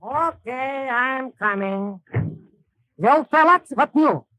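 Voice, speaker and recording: Very high, swooping comic sidekick. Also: male voice, animated, slow, pushed and bright, no room sound, full character voice. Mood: eager, admiring. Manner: exclamatory, put-on comic dialect. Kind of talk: 1930s radio dialect comedy.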